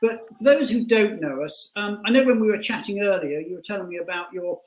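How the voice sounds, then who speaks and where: A man hosting a casual online interview, halting and often repeating words mid-sentence as he frames his questions. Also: high-pitched. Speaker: show host, interviewer. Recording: echoey room on online call.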